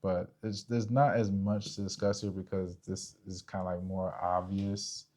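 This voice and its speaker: A man's voice: low-pitched, level tone, a little slow, darkish tone. A young man co-hosting a podcast, talking casually about relationships and explaining step by step while checking for agreement. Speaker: podcast co-host.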